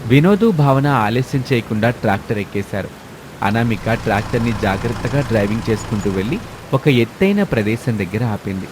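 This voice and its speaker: A man's voice: mid-range voice, animated, brisk. A man narrating a scripted moral story as the storyteller. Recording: clear rain and engine noise behind.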